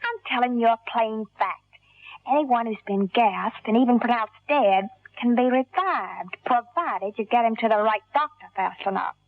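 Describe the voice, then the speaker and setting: An actress playing a scheming, hard-boiled gangster moll with a tough, wry, slangy delivery in an old radio crime drama. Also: female voice, fairly high pitch, normal speed, no echo, lightly acted. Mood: coaxing, cool. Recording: tinny old radio broadcast, music behind.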